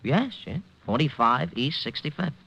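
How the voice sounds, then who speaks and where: An actor playing a nervous, defensive American newspaper columnist in 1940s radio drama, fretful and eager to explain. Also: male voice, medium pitch, very animated, moderate pace, vocal fry, sharp tone, lo-fi sound, heavily acted.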